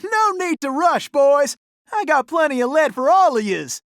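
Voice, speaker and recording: Casting: young gunslinger outlaw for a video game. Very high, animated voice, Old West Southern drawl, boastful and gallant. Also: male voice, moderate pace, dry recording, clean studio sound, heavily acted. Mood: cocky, taunting. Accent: American Old West Southern drawl. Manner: folksy, exclamatory, boastful cowboy bravado.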